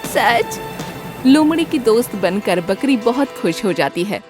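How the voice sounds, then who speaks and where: A woman reading scripted narration as the storyteller of an animated fable. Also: medium pitch, normal speed, faint music behind.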